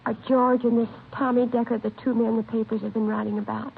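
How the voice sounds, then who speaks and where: An actress playing a protective, trusting middle-aged aunt in a vintage radio drama, earnest and anxious. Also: female voice, fairly high pitch, flat delivery, quick pace, vocal fry. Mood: shocked, grieving. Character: protective, trusting aunt of a wayward young man. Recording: lo-fi vintage radio recording, faint hiss.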